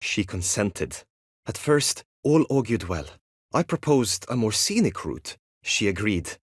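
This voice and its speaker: A man's voice: on the low side, big pitch swings, unhurried, slightly rough, no echo, studio quality, full character voice. A man playing an earnest, lovestruck young knight in a fantasy video game, speaking in formal, archaic, courtly phrases. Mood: sorrowful, reminiscing. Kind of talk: video game voice acting, medieval fantasy drama.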